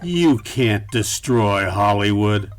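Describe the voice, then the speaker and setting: An actor playing a wisecracking, hard-boiled detective in a comic film noir radio play. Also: male voice, on the low side, expressive, takes it slowly, slight background noise. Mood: challenging. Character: wisecracking male noir detective, sardonic and unflappable.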